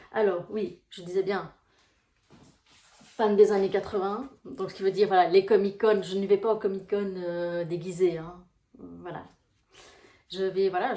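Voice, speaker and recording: A woman's voice: mid-range voice, darkish tone. A woman from Brussels with a Brussels Belgian accent, a life coach chatting casually to camera in a rambling way. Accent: Brussels Belgian French. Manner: chatty, rambling, full of fillers. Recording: echoey room.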